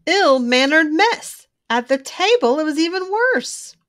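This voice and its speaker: A woman reading a children's storybook aloud in an expressive, emphatic way, drawing out key words. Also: high-pitched, measured pace. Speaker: children's book reader.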